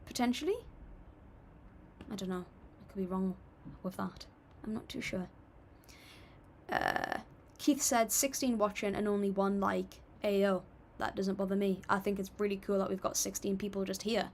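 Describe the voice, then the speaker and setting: A woman's voice: mid-range voice, steady tone, normal speed, gravelly, a little mellow, a little hiss or hum. A young woman hosting a casual livestream, chatting and reading viewer comments aloud in an upbeat, apologetic way. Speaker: livestream music reactor.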